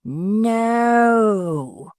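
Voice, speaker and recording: A man's voice: high voice, expressive, extremely slow, a little mellow, smooth, no room sound, clean studio sound, full character voice. A man voicing a spiteful, bullying male dwarf in an audiobook, sneering and menacing. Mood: threatening. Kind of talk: audiobook character voice.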